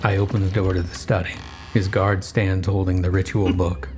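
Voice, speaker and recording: A man narrating a first-person horror story in a grave, intense, dramatic way with drawn-out phrasing. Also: low-pitched, little pitch movement, a little mellow, a little hiss or hum.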